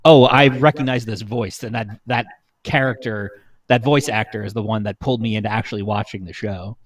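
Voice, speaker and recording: A man's voice: lowish voice, a little fast, no room sound. A young man talking casually as a podcast panelist. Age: young adult. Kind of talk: casual chat.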